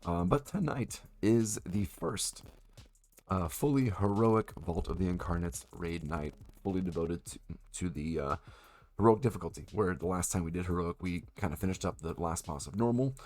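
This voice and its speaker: A man streaming a video game, his voice hoarse from a cold, talking casually with lots of ums. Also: deep voice, normal speed. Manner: relaxed, lots of ums and uhs.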